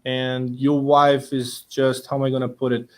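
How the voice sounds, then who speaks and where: A man's voice: medium pitch, level tone, normal speed. A man, hosting a chatty, informal interview. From Bosnia. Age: 30s. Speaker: interview host. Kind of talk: casual conversational interview.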